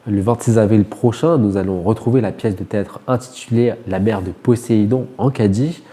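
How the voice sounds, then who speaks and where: A man's voice: on the low side, moderate pace, mellow. A man with a French accent from France, a radio interviewer putting prepared questions to a guest.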